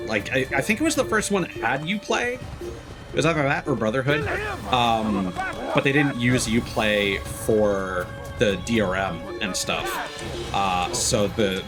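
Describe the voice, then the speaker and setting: A man doing casual livestream commentary as a game streamer, talking in a rambling, halting, sweary way. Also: on the low side.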